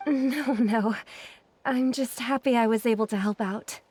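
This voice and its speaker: A woman playing a gentle, polite and modest young woman in a video game. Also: on the high side, measured pace, husky. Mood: humble.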